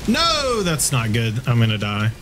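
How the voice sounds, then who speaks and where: A man's voice: medium pitch, wildly swooping pitch, a little slow, a bit bright, faint music behind. A man playing a video game and commenting casually on it, grumbling and swearing in exasperation. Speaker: video game player, co-host. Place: indoor room, webcam setup.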